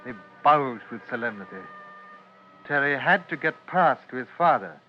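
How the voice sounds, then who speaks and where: A British man narrating a radio drama in a measured, literary storytelling manner. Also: medium pitch, expressive. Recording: dull old radio broadcast with music.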